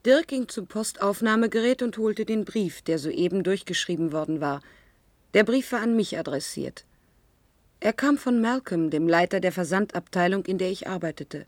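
Female actor as earnest commune woman, medium pitch, composed, matter-of-fact radio drama narration, close dry studio. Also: moderate pace, darkish tone, no room sound, natural voice. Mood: composed, factual.

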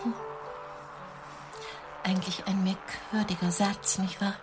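An actress playing a nervous, coy, wounded single woman who flirts politely on a date in a radio drama, measured and sometimes breathy. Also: medium pitch, flat delivery, music in the background, natural voice. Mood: coy, probing.

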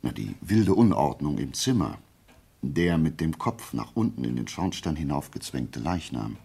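A brilliant detective reasons aloud in a very deep, creaky voice. His delivery is deliberate and animated, with emphatic pauses and a self-assured, pedantic air. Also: heavily acted. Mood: analytical, assured. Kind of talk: German radio play acting.

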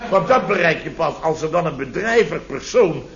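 Verontwaardigde, sarcastische baas, hoge mannenstem. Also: male voice, fairly high pitch, animated, normal speed, gravelly, reverberant. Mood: sarcastic outrage. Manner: sarcastic, blustering, repeats himself. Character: indignant sarcastic middle-aged building contractor boss, blustering storyteller. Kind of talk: 1970s Dutch radio comedy acting. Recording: dull vintage radio recording.

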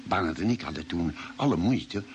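An attentive, inquiring ship's doctor in a vintage radio drama. His voice is fairly low and very animated in its melody, with clear noise on the old recording. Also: moderate pace, lo-fi sound. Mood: earnest, attentive. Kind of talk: vintage Dutch radio play acting.

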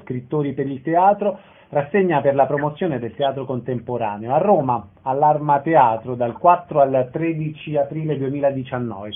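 Phone-band male radio interviewer, slightly high-pitched. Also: narrow, tinny audio.

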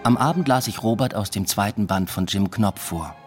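Actor as low-voiced, introspective academic narrator. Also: male voice, normal speed, a little mellow, faint music behind, natural voice. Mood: reflective. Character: weary, introspective male literature academic and stepfather. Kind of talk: radio drama first-person narration and dialogue.

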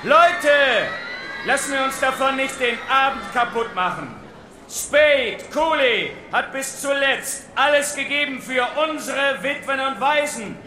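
A high, bright-voiced show emcee yells over a crowd for the night to go on. The sound is echoey and heard from some distance, with a crowd murmuring behind. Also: male voice, unhurried, slight background noise, heavily acted. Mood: rousing. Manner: shouting, urging on a crowd. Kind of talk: radio play acting.

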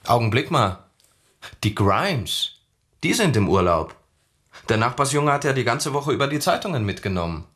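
An actor playing a gruff but helpful suburban neighbor in a naturalistic radio drama. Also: male voice, mid-range voice, big pitch swings, normal speed, long pauses, a bit bright. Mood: gruff, cooperative.

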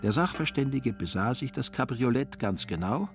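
A man narrating a literary radio play in a wry, measured storytelling manner. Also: medium pitch, expressive, soft background music.

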